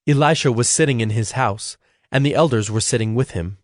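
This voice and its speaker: A man narrating a dramatized scripture reading in a measured, solemn manner. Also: medium pitch, no room sound, studio quality. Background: soft background music.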